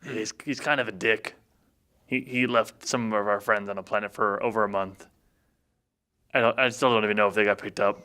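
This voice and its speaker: A man's voice: fairly low pitch, steady tone, moderate pace, sharp tone. Wry man playing a tabletop game. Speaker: player in a tabletop game podcast. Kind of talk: casual game chat.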